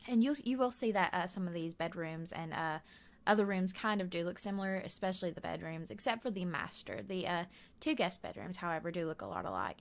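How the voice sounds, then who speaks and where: A hoarse woman giving a casual, rambling voiceover for a building video from her bedroom at home, with lots of fillers and soft laughs. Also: lowish voice, lively intonation, a little fast. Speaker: gaming video creator.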